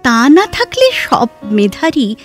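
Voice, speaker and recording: A woman playing a reflective, scholarly, sorrow-laden heroine in an audio drama, speaking in a measured, lyrical way with expressive pauses. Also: high-pitched, faint music behind, exaggerated voice. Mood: earnest, wistful. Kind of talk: Bengali audio drama dialogue.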